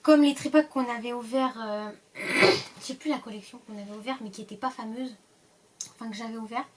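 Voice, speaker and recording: A woman's voice: a little high, steady tone, a little fast, far from the mic. A woman with a cold, casually opening card packs and reading the card names aloud, often hesitating and trailing off. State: stuffy from a cold. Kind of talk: casual pack-opening commentary.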